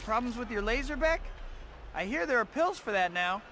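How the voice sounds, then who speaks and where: A man's voice: very high-pitched, animated, moderate pace, bright, forceful tone, a little hiss or hum, exaggerated voice. An actor playing a wisecracking young male superhero in a video game, wry, earnest and conversational. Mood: cocky. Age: young adult.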